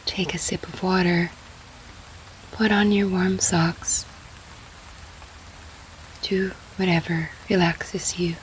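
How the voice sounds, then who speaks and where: Slow, hushed woman guiding sleep meditation. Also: on the low side, slight background noise, low-quality recording. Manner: soft, breathy, half-whispered, soothing. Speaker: guided sleep meditation host. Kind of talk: guided sleep meditation narration. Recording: faint rain sound behind. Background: soft rain.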